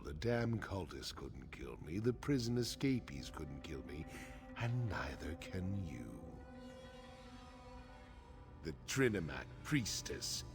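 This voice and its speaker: A bitter orc warlord, very deep and gravelly, taunting his enemies with scorn. He speaks slowly and deliberately, like a game villain who refuses to bow. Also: full character voice. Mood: bitter, scornful. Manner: taunting, sardonic. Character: defiant captured orc chief, gruff, bitter, taunting. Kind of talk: video game character acting. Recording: game music under the voice.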